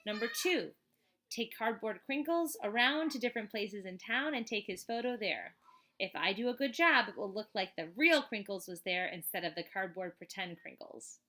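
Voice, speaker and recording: A woman reading a children's book aloud as a teacher, in an expressive, chatty way with casual asides. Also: medium pitch, moderate pace. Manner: expressive, chatty, emphatic on list items.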